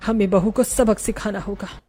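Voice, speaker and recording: A woman voicing an older mother-in-law in a cartoon, motherly but reproachful, speaking in a measured way. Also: medium pitch, steady tone, mellow, breathy edge, faint music behind, pitched below the performer's own voice, lightly acted. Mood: firm, reproachful.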